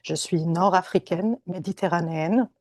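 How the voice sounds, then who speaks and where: Middle-aged woman from Tunisia, low voice, dry close sound, halting academic lecture over a video call. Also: darkish tone, no room sound. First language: Arabic. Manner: careful, hesitant, many fillers and restarts. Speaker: guest lecturer, anthropologist. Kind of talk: academic conference talk. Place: home or office on a video call.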